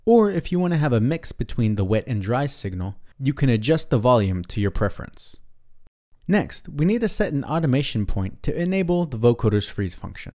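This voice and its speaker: A man's voice: medium pitch, sing-song, a little fast, mellow. A man narrating a scripted instructional tutorial in a methodical, step-by-step way.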